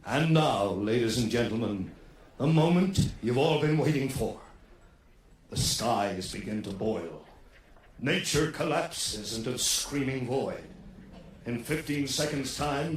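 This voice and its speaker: A man's voice: medium pitch, lively intonation, slow. A theatrical compère addressing his audience in a radio comedy. His patter is grand and showy. The voice is distant and heavily echoed, with faint ambient noise. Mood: grandiose. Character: showy male compère of an end-of-universe cabaret. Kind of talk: radio comedy drama acting.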